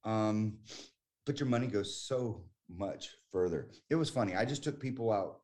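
A man's voice: lowish voice, lively intonation, normal speed, a little mellow. A man in his forties from the United States, talking as a guest in a casual conversation, chatty and emphatic with frequent restarts. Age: 40s.

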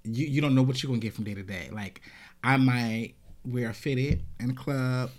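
A soft-spoken speaker talks casually in a group. They pause and hesitate while gathering their thoughts. Their pitch sits mid-range.

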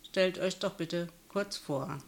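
A woman with a slightly low voice hosts a local politics podcast. She asks her guests questions in a friendly, orderly way. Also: slow. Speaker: podcast host.

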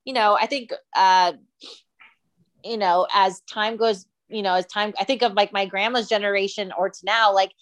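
A woman chatting casually as co-host, full of fillers and quick to laugh. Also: mid-range voice, fairly even intonation. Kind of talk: casual chat.

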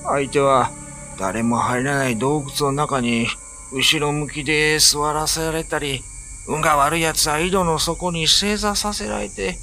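A man's voice: a little high, steady tone, unhurried. A man acting as a grave narrator and commanding village leader in a ghost story radio drama. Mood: urgent, grim. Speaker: narrator and actor. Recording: voice over loud background music.

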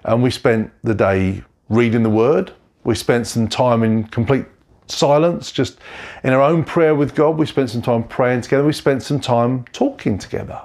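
A pastor, telling his story in a reflective, halting way and often correcting himself. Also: mid-range voice, darkish tone. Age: 40s. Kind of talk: personal testimony sermon.

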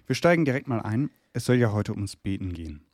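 A man's voice: on the low side, very animated, a little fast, no echo, clean studio sound. A man with a cold co-hosting a casual theological podcast from a room in his parents' home, steadily guiding the talk. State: has a cold. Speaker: podcast co-host.

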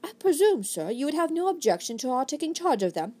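A woman voicing a smooth, scheming gentleman with a polished, courtly manner. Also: high voice, big pitch swings, a little fast, pitched above the performer's own voice. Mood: suave. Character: smooth scheming gentleman, feigning loyal outrage.

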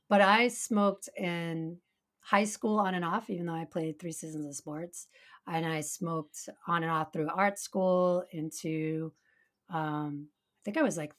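A middle-aged woman with a naturally raspy voice, co-hosting a podcast in casual chat, laughing often and talking in quick asides. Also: fairly low pitch. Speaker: podcast co-host.

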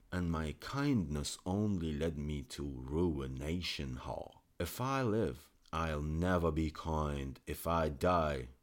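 A man reading aloud plays an aged court physician from an old tale, desperate and beseeching. His deep voice strains upward into raised, pleading cries, with wide swings between rising entreaty and falling despair. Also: darkish tone, heavily acted.

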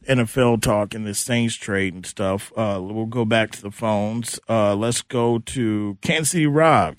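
Male sports talk host, informal and conversational, middling pitch, close dry sound. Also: dry recording.

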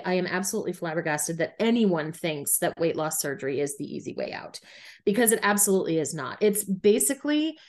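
A woman's voice: medium pitch, darkish tone. A woman in her thirties, a runner and podcast guest, telling her story frankly in a casual conversational way over a remote call. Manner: candid, frank, laughs easily.

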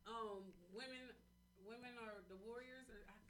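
A woman hosting a casual radio chat, animated and laughing, repeating "listen" to hold attention. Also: fairly high pitch, moderate pace, recorded from a distance, a little hiss or hum. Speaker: radio host.

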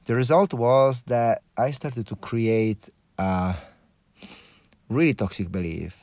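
A man from Italy with an Italian accent, hosting a podcast as a personal monologue in reflective, halting phrases with repeated restarts. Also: medium pitch, darkish tone, dry recording. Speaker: podcast host.

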